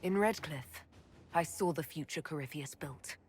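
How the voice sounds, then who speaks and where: Resolute woman commander played by an actress, lowish voice. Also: darkish tone, soft background music, close to an everyday voice. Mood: determined. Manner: commanding, measured. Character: resolute, inspiring woman military leader. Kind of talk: dramatic video game acting.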